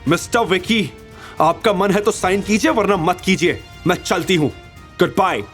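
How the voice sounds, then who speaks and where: Guy doing a proud young woman in an audio drama, high voice, stern but nervy, faint music under it. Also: moderate pace, a bit bright. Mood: angry, firm. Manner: stern, hesitant under pressure. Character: young woman, 28, firm, anxious, self-respecting. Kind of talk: Hindi audio drama dialogue.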